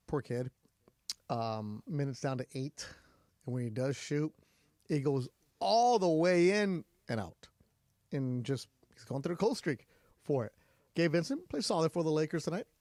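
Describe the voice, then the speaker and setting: A man hosting a postgame radio call-in show who gets loud and high whenever he's fired up. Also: dry recording, clean studio sound. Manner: excitable, jumps high in pitch when fired up. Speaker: radio sports show host.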